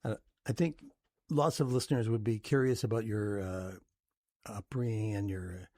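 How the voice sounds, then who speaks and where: A man hosting a campus radio talk show, speaking in a relaxed way with frequent "uh" pauses. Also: on the low side, no room sound, clean studio sound. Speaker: radio host. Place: radio studio.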